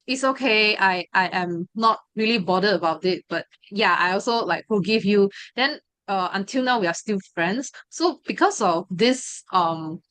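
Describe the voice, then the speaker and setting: A woman's voice: mid-range voice, fairly bright tone, dry recording. A young woman sharing a personal testimony, hesitant and full of fillers and restarts. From Malaysia. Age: 20s. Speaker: study group member sharing her story.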